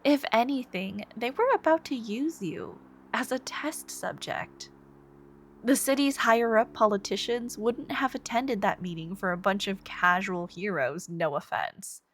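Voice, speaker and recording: Woman acting a slow, obsessive yandere villainess. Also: mid-range voice, slight background noise, natural voice. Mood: scornful. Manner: slow, intimate, breathy sighs and whispered phrase endings. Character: obsessive yandere supervillain woman, devoted, soft-spoken, menacing. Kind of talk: ASMR roleplay, scripted dramatic monologue.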